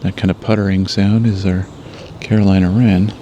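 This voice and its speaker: A man hosting a birding show, explaining what he observes in a measured way as narration and field commentary. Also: sits low, flat delivery, dark tone, dull audio, a little hiss or hum. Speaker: birding podcast host.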